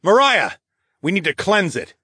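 A man's voice: mid-range voice, very animated, moderate pace, fairly bright tone, dry recording, studio quality. Man playing a growling, brash fantasy hero. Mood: angry resolve. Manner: growling, brash, casual swearing. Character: gruff, bold male fantasy hero, a healer with a sword.

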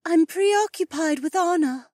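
A faint, weary young oryx woman calling from far away, as a male storyteller puts her on. Her voice sits very high and breathy-soft, strained and close to tears. It has the hushed, fading delivery of a storybook captive. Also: a little slow, no room sound. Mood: faint, anxious.

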